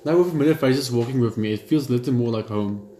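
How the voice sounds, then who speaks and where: A man gaming, reading a horror visual novel's narration aloud in a steady read, dropping blunt side remarks. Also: mid-range voice, quick pace, echoey room, a little hiss or hum. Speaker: gamer.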